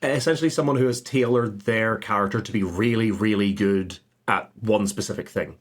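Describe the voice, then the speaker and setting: Male podcast guest, informal storyteller; rough-edged, lowish voice, playful mock dialogue. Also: normal speed. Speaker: podcast guest, game master. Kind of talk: casual chat, animated anecdotes with mimicked quotes.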